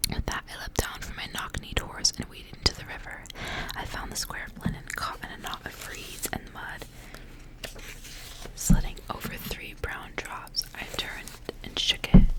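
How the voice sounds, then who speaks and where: Woman doing asmr story reading, all whispered, soft n breathy, takes her time. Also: moderate pace, low-quality recording. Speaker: ASMR host reading aloud.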